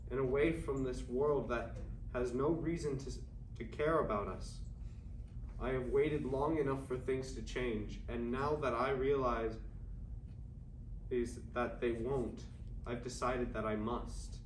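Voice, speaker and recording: A man playing a yearning 1920s writer of secret love letters in an earnest, measured staged play reading. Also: mid-range voice, close to an everyday voice. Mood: resolute, wistful. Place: indoor room at a staged reading. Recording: echoey room, distant mic, faint noise.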